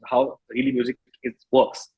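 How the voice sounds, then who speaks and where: Husky Indian man in casual conversation. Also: mid-range voice, completely flat delivery, normal speed, muffled recording. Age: 30s. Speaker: musician being interviewed.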